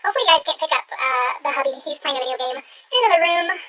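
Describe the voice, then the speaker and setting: A woman's voice: sits high, very fast, pushed and bright, slight background noise. A woman talking through a makeup tutorial at home, chatty and gushing as she gives step-by-step instructions. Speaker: beauty vlogger.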